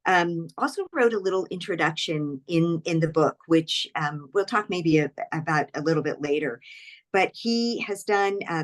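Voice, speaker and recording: A woman hosting an online book club for a nonprofit, introducing the guest in a friendly way with frequent ums and repeated words over a video call. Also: on the low side. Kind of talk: introducing a speaker at an online event.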